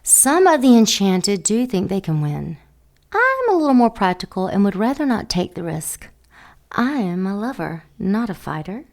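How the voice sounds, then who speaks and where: A woman playing a seductive female dark angel who teases and mocks in an audio drama. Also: medium pitch, very animated, normal speed. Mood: teasing. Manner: teasing, sultry, mocking.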